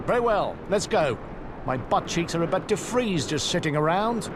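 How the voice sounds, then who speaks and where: Bantering builder amid loud noise. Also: male voice, fairly high pitch, sing-song, moderate pace. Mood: grumbling. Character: cheeky grumbling male builder of a fantasy watch. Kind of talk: video game cutscene acting.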